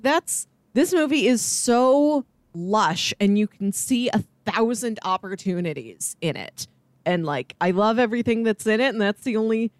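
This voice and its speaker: A woman's voice: fairly high pitch, no room sound. A woman co-hosting a podcast, talking casually about a movie. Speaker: podcast co-host.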